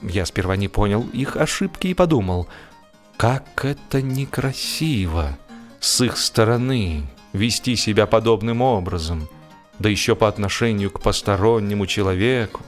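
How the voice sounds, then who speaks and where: A man reads a humorous story as an audiobook narrator, in an expressive, animated storytelling manner. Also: on the low side, moderate pace, faint music behind.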